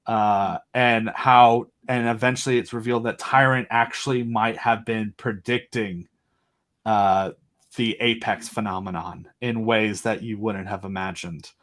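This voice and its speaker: A man's voice: on the low side, fairly even intonation, measured pace, fairly bright tone. Eager, rambling man in a chat. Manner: enthusiastic and rambling, with frequent fillers. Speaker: podcast guest and audio drama creator. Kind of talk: casual conversational chat.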